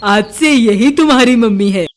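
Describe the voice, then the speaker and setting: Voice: mid-range voice, normal speed, natural voice. A performer voicing a male father bird with earnest, melodramatic delivery in a cartoon story.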